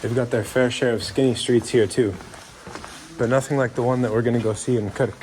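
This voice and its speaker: A man's voice: medium pitch, fairly even intonation, brisk, a little mellow, a little hiss or hum, rough recording. A man vlogging in a chatty, easygoing way while walking through old town streets outdoors, talking to the camera with plenty of fillers. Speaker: travel vlogger.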